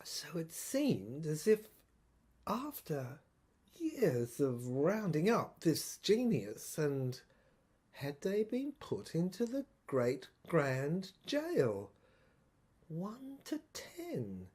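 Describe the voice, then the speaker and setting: Woman acting as troubled soldier telling a grim story in audio drama, low-key mellow tone, pitch wandering a lot, halting phrases. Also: huge pitch swings, slightly rough, exaggerated voice. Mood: grave, revelatory. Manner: halting, broken phrases with deliberate emphasis and repetition. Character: troubled infantry soldier piecing together a secret government document. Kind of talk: dramatic first-person monologue, audio drama narration.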